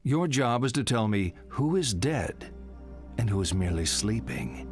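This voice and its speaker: A man playing an older, morbid psychiatrist in a video game, deliberate, needling and theatrical. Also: mid-range voice, sing-song, soft background music. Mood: brisk, condescending.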